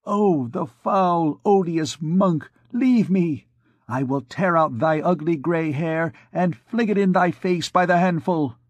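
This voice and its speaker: A man's voice: takes it slowly, no echo. A man reading aloud, voicing a defiant young woman who cries out in scorn and fear. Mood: terrified. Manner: scornful, shrill crying out.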